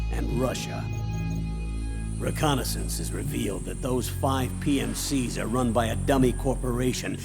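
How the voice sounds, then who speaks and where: A male actor playing an earnest older colonel, giving a solemn, authoritative mission briefing in a video game with a gravelly edge. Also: medium pitch, natural voice.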